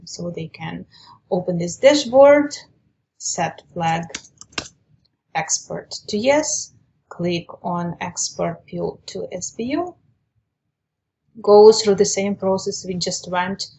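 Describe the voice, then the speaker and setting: Female software trainer, mid-range voice, methodical step-by-step explanatory delivery for a webinar demo. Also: mid-range voice, rough recording.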